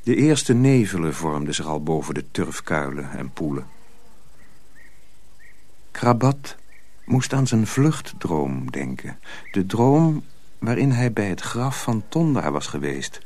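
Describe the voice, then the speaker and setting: Low, expressive male storyteller. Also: slow pace. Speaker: narrator. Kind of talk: scripted storybook narration in a radio play.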